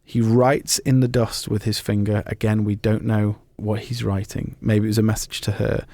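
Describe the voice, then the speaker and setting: A man's voice: on the low side, little pitch movement, moderate pace, darkish tone, dry recording. A man hosting a podcast, talking conversationally about faith in an earnest, explanatory way. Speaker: podcast host. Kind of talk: conversational talk on faith and football.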